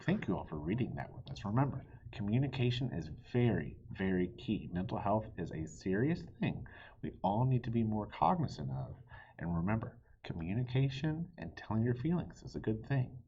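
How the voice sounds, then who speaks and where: A man reading a children's story aloud in a theatrical way, with a breathy, husky voice that dips into hushed tones. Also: on the low side, very animated, moderate pace, dull audio. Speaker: children's book reader.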